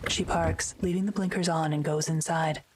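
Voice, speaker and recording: A woman narrating a scripted audio drama in a matter-of-fact, measured way. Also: deep voice, steady tone, noisy background.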